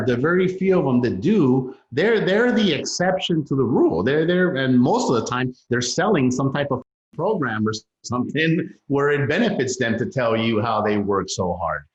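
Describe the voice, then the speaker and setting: A man from south Los Angeles, whose was not English, speaking as a podcast guest, candid and emphatic, swearing freely. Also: medium pitch. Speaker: guest, real estate investor. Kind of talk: interview, telling his story. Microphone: over a phone line. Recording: dull lo-fi line with room echo.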